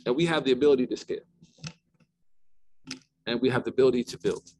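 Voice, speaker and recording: A man's voice: medium pitch, quick pace, darkish tone, a little hiss or hum. A middle-aged man giving an informal lecture on Islamic rulings, talking conversationally with many restarts and asides. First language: not Arabic.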